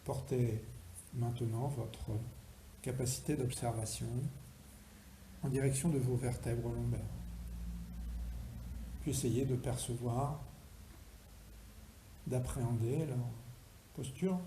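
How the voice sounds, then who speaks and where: Very mellow male yoga nidra guide. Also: medium pitch, steady tone. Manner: slow, deliberate, instructing with pauses. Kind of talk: guided relaxation meditation. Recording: roomy echo, faint background noise.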